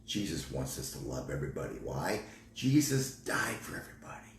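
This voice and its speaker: 低く抑揚のある男性の説教調の声. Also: male voice, rumbling low, huge pitch swings, brisk, creaky, a little hiss or hum. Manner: terse, exhortative asides. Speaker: ministry co-host. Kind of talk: short preaching interjections. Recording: echoey room.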